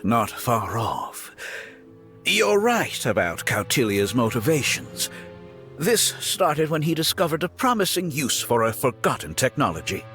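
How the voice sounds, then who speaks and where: A man's voice: medium pitch, very animated, unhurried, fairly bright tone, soft background music. A man voicing a smug, theatrical, scheming villain in an anime game, with a suave, mocking, flamboyant manner.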